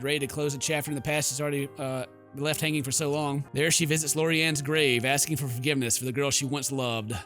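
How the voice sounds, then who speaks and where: Man, slightly high voice, forceful bright tone, let's play host, dramatic reading, casual joking asides, music underneath. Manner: dramatic storybook reading broken by jokey asides. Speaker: gaming video host. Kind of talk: let's play, reading game text aloud with casual reactions. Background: game music.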